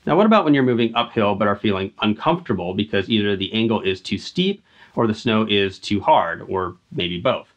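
American man teaching, lively pitch swings. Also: fairly quick, dull audio. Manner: clear, methodical step-by-step explaining. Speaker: outdoor skills instructor and video host. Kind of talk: scripted instructional narration.

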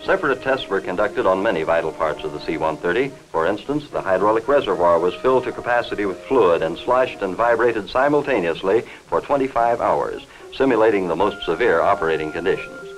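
An American man reading formal, measured, factual scripted narration in the style of a 1950s industrial newsreel, on a vintage film soundtrack. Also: deep voice, animated, soft background music, low-quality recording.

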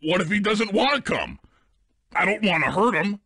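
A man's voice: sits high, very animated, moderate pace, pushed and bright, breathy edge, no room sound, clean studio sound, full character voice. A man playing a big, dim but loyal cartoon hippo strongman in a video game, boastful and childlike, with bombastic delivery. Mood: earnest. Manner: bombastic, speaks of himself in third person.

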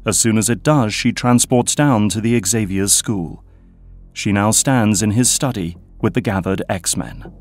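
Man narrating an audio drama, lowish voice, steady, music behind. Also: measured pace. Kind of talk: scripted narration.

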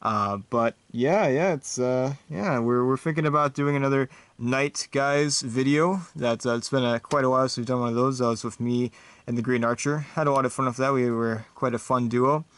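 A man voicing a relaxed knight movie star who rambles with lots of fillers. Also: mid-range voice, moderate pace, clear, resonant tone, no echo, lightly acted. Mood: easygoing. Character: laid-back knight movie star, male adult.

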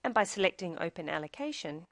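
A woman reading a scripted software tutorial, explaining each step in a measured, instructional way. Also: fairly low pitch, expressive, no room sound, studio quality.

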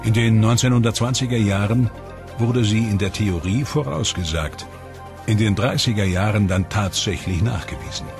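A man narrating a science documentary in a measured, dramatic scripted delivery. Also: on the low side. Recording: studio voice-over with music behind. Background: clear background music.

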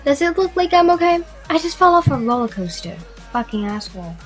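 Brazen young woman played by an actress, high-pitched. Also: big pitch swings, brisk. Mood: irritated. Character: brazen, foul-mouthed, promiscuous young woman. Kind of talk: comedic audio drama acting. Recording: loud music behind.

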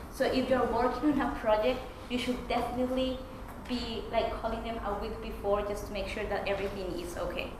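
Young woman from Colombia, student presenter, relaxed chatty delivery; echoey classroom, far camera, audible hiss. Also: a little high. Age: young adult. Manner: gestures while talking, relaxed and chatty. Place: classroom with projector screen.

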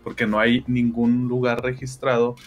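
A man's voice: mid-range voice, monotone, moderate pace, muffled recording, soft background music. A man in his twenties, a speedrunner guest explaining at length and patiently. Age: 20s. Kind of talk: casual explanation.

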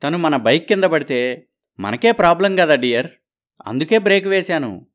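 An actor playing a sly, boastful married man in a cartoon story, smooth-talking and evasive. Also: a little high, lively intonation, moderate pace, close to an everyday voice.

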